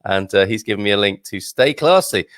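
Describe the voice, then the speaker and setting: A man's voice: fairly low pitch, expressive, brisk, a bit bright, dry recording, clean studio sound. A man hosting a casual news show, reading out viewer comments with chatty, wry asides. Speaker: host.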